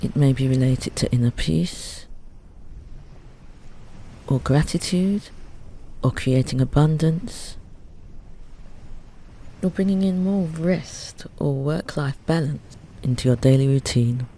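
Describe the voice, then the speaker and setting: A meditation teacher gently guiding a Yoga Nidra relaxation, soothing and unhurried, with long pauses between phrases. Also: medium pitch, rough recording. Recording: steady ambient noise under the voice. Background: ocean waves.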